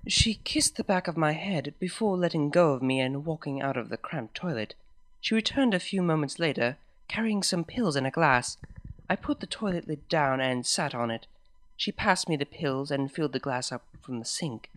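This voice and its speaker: A woman reading a first-person story aloud, expressive and dramatic. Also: deep voice, moderate pace, no room sound. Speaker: fanfic reader.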